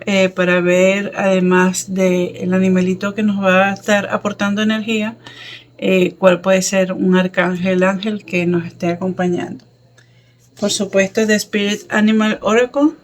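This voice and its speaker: A woman giving a casual tarot reading, chatty and affectionate, with many fillers and soft laughs. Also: mid-range voice, steady tone, a little slow, slight background noise. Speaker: tarot reader. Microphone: camera set up over a table with cards.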